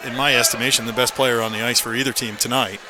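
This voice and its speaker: A man giving measured, opinionated color commentary on a live radio hockey broadcast. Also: mid-range voice, flat delivery. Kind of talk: live radio sports analysis. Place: indoor hockey arena. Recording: noisy live arena broadcast. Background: loud rink ambience.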